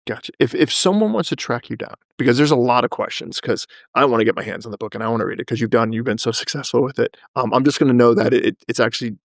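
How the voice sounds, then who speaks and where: Fast-talking, gravelly male podcast host. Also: medium pitch, no room sound, clean studio sound. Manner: blunt, emphatic. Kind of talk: casual interview chat.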